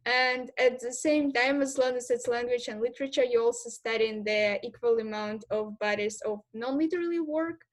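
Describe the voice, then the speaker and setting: A young woman student explaining exam assignments to future students in a steady, methodical way. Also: fairly high pitch, moderate pace, hardly any pauses. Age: young adult. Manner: steady, methodical, with occasional fillers. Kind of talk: informal explainer talk to prospective students.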